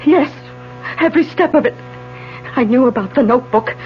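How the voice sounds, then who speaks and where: An actress playing a distraught, desperate wife in a 1970s radio drama, pleading and faltering melodramatically. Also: female voice, sits high, brisk, natural voice. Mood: defiant, unhinged. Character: distraught, desperate wife who killed her husband. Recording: dull 1970s AM radio broadcast, faint music.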